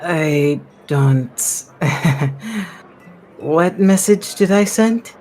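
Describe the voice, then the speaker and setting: A woman's voice: fairly low pitch, expressive, very slow, darkish tone, faint music behind. A woman playing a groggy, grumpy, hungover party-loving adventurer in a tabletop game, with a dry manner. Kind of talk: tabletop roleplay.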